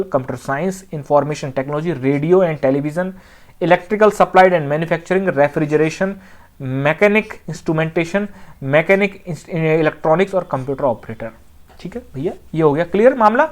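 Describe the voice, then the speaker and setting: An exam coaching teacher, explaining things informally and conversationally, repeating points and often checking that students follow. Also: mid-range voice. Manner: conversational, with frequent check-in phrases and repetition.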